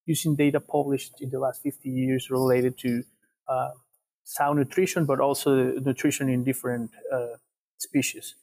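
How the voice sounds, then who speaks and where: A young man from Ecuador with an Ecuadorian Spanish accent explains research as an interview guest, in a measured way, often repeating words as they go. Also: mid-range voice, a little mellow, breathy edge. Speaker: guest researcher, PhD student.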